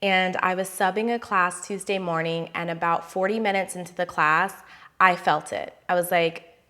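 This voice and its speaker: A woman's voice: on the low side, fairly even intonation, bright, forceful tone. A woman hosting a wellness podcast in a casual, chatty way, just back from losing her voice, laughing at herself as she talks. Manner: chatty, laughs at herself, drawn-out emphatic phrases. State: recovering from a lost voice. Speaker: podcast host.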